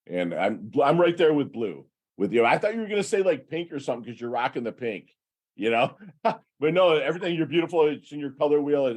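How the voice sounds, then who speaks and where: A man's voice: mid-range voice, a little mellow. A man hosting a podcast interview, speaking with an enthusiastic, hype-filled delivery. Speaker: podcast host. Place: home near the ocean.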